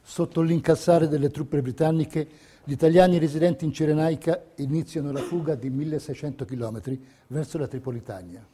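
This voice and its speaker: A man's voice: on the high side, fairly quick, warm tone. A man reading scripted historical narration as a documentary theatre narrator. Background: music under the voice.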